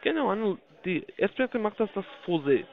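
A high-voiced man with a foreign accent works through a telephone sales pitch. His intonation swings widely as he repeats himself in halting, grammatically broken phrases. The call audio is dull and lo-fi. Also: big pitch swings, dry recording. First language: not German (non-native speaker). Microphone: phone call.

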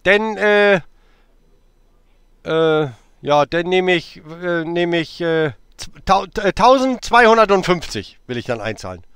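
A man in his late fifties talks on a phone call, teasing and stalling with many hesitations. His voice sits high and swings widely in pitch. The sound is dry and close. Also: lively intonation, slow, fairly bright tone, clean tone, dry recording. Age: 50s.

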